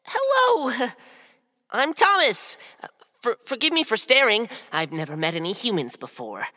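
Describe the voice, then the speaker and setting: A woman voicing a timid young male mouse scribe who squeaks and stammers nervously. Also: very animated, measured pace, pushed and bright, long reverb tail, heavily acted. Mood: eager.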